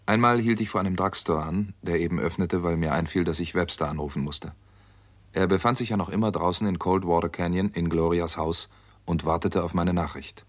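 A hard-boiled doctor investigating a case in a vintage radio thriller. His voice is deep, and he speaks in clipped, matter-of-fact sentences. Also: brisk. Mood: grave, matter-of-fact. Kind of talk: 1960s German radio crime drama narration and dialogue.

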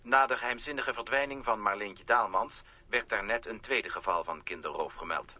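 An actor playing a brisk, formal newsreader, heard over a radio in a children's audio play. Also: male voice, on the low side, sharp tone. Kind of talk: radio news bulletin.

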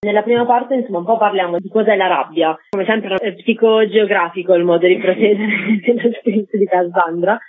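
A woman's voice: medium pitch, steady tone, fairly quick, hardly any pauses. A woman in her twenties giving discursive, explanatory answers as a radio guest and podcast maker. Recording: dry, tinny phone line.

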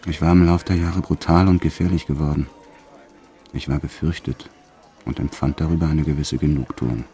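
A proud, dangerous male gangster recounting his life for a radio play. His voice is extremely deep and creaks with gravel. He speaks in a measured, sombre confessional manner, cold and hard, with faint music underneath. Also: steady tone, mellow. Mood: detached, proud. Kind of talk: first-person radio play narration.

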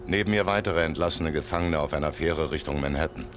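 A man's voice: very deep voice, quick pace, background noise. An actor playing a tough, laconic gangster recounting his rise in a German radio play. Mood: grim, detached. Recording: music behind studio radio play.

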